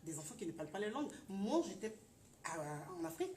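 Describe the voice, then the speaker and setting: A woman's voice: medium pitch, sing-song, fast. Attentive woman host, echoey room. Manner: attentive, brief acknowledgments, gentle questions. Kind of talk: interviewing on a talk show. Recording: echoey room, voice from far off, faint noise.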